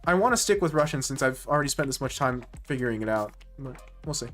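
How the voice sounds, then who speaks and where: A man's voice: medium pitch, expressive, fast talker, fairly bright tone, faint music behind. A man streaming live and chatting casually with viewers, rambling and correcting himself mid-sentence with nerdy enthusiasm. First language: English.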